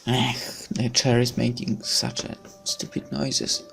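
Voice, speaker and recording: A let's player slowly reading a visual novel's story aloud in a hushed, theatrical way, with casual asides. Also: medium pitch, flat delivery, gravelly, faint music behind. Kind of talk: slow dramatic read-aloud of game text with casual asides.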